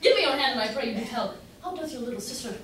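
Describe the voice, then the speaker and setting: In a very echoey, distant recording, a woman plays a foppish gentleman suitor. The voice is highish, pompous and foolishly wordy, as in an old stage comedy. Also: expressive, racing. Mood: simpering, wooing. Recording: echoey room from far off, faint noise.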